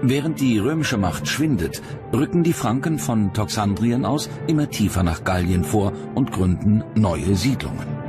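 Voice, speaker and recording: A man reading scripted documentary narration in a measured, dramatic storytelling manner. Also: lowish voice, big pitch swings. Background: music under the narration.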